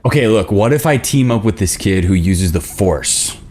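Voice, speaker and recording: A man acting an earnest, geeky boyfriend with a low voice. He sounds needy and conversational, as in a romantic drama table read. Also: expressive, normal speed, darkish tone, slight background noise, lightly acted. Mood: earnest, hypothetical. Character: earnest, needy male boyfriend posing geeky hypothetical questions. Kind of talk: conversational script reading.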